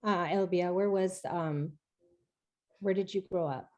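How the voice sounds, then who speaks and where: A woman in her forties hosts an interview on a video call from her kitchen. She sounds eager when she welcomes her guests. She pauses on fillers while she puts her questions together. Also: fairly low pitch, steady tone, darkish tone, muffled recording. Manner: enthusiastic, with hesitant fillers when asking questions. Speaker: host, executive chef. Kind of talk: interview hosting. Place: home kitchen.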